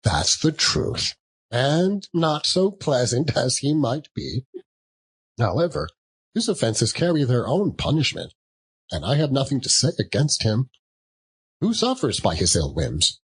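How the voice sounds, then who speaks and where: A man voicing a jolly young gentleman in an audiobook, hearty and good-humoured, chuckling between phrases. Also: medium pitch, sing-song, measured pace, husky, voice pushed up, full character voice, low-quality recording. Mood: good-humoured, amused. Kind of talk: audiobook character voice.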